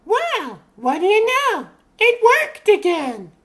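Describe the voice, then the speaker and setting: A woman's voice: very high voice, huge pitch swings, slow pace, a little hiss or hum, full character voice. A woman voicing a breezy, confident animal superheroine in a comic dub, theatrical, with music underneath. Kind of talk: comic dub character acting.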